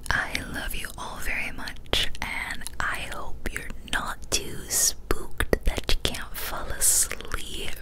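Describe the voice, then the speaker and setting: Whispering young woman, soft ASMR hush. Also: poor audio. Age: young adult. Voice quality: soft, breathy unvoiced whisper. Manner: slow, soothing, gentle delivery. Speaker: ASMR host. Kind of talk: ASMR whispered story reading and chat. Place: small decorated room indoors. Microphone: close studio microphone on an arm.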